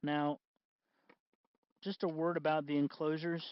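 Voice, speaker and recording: Higher-voiced man explaining, dull dry recording. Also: level tone, clear, resonant tone, no room sound. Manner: halting, self-correcting. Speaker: host of a DIY costume electronics tutorial. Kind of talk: casual unscripted tutorial talk.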